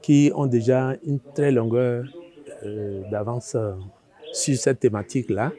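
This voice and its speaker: Very dark-voiced man, slow and measured. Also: medium pitch, fairly even intonation. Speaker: project official. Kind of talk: formal interview statement.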